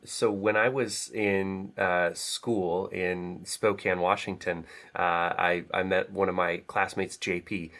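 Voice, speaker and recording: A man speaking as a guest author in a casual interview, halting and reflective, often pausing mid-sentence. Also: low voice, a bit bright.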